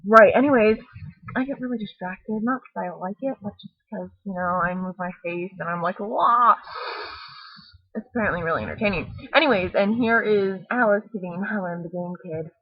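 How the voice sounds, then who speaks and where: A woman with a slightly husky voice casually reading a picture book aloud, rambling into playful, mock-dramatic chatty asides on a laptop microphone. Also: mid-range voice, fairly quick, no echo. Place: at home, close to a laptop on a bed. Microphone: laptop webcam microphone. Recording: muffled lo-fi laptop webcam audio.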